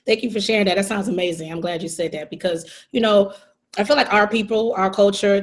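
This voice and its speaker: A woman hosting a podcast interview, casual and full of praise and slang. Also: on the low side. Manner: warm, effusive praise and slang. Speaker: podcast host.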